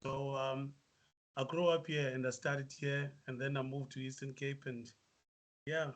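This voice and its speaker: A South African musician answering interview questions, hesitant with many fillers. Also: male voice, medium pitch, little pitch movement, normal speed. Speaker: musician guest.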